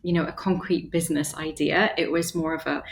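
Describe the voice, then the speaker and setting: A woman in her thirties with a fairly low voice speaks slowly in a casual interview. She thinks aloud, often pausing with fillers and restarting her sentences as she goes. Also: fairly even intonation, vocal fry, slight background noise. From Bahrain. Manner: thoughtful, hesitant with many fillers and restarts. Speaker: interior designer and studio founder, guest.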